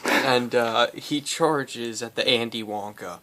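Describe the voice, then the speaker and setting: A man telling an improvised comic story in a halting, rambling way, as host among friends. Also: mid-range voice, sharp tone. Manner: rambling, halting, often breaking into laughter. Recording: noisy home recording with clicks.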